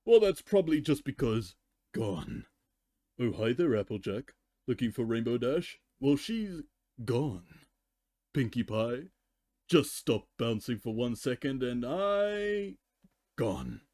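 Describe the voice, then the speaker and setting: Man acting out a young female storybook pony, cheerfully callous, nonchalant, unhurried, soft-edged mellow tone. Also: mid-range voice, big pitch swings, no room sound, studio quality. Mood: breezy glee. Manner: nonchalant, matter-of-fact.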